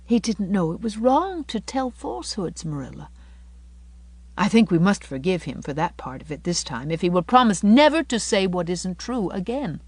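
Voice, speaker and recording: A woman voicing a gentle young schoolteacher from a storybook, tenderly reproachful and morally earnest, with careful, correct speech. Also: medium pitch, very animated, normal speed, no echo. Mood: gently correcting.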